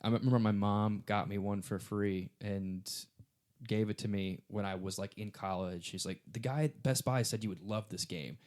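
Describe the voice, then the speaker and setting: Low-voiced man chatting loosely on a podcast. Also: steady tone, normal speed, darkish tone. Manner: rambling, informal, mildly sweary. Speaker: podcast co-host guessing in a quiz. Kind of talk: casual chat.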